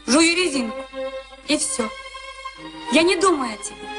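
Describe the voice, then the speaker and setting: High-voiced flippant young sweetheart. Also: female voice, animated, measured pace. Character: flippant, teasing girlfriend of a boxer. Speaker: actor. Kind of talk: Soviet radio play acting. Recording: archival radio drama, music behind.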